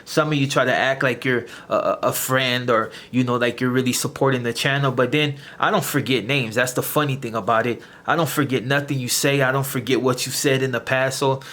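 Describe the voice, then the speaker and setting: A man's voice: medium pitch, steady tone, a bit bright. Man ranting at his viewers. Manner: confrontational, lecturing viewers; halting, repeats words as he searches. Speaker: channel host. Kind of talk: direct-to-camera rant.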